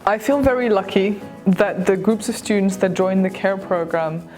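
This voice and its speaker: A woman's voice: medium pitch, normal speed, a little mellow, faint music behind, rough recording. A woman from France, a university teacher, speaking fluently and earnestly in a documentary interview.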